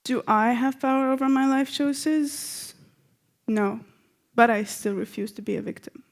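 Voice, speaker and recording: A woman's voice: fairly high pitch, a little slow. A young woman reading the part of a defiant, socially engaged woman in a staged play reading. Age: young adult.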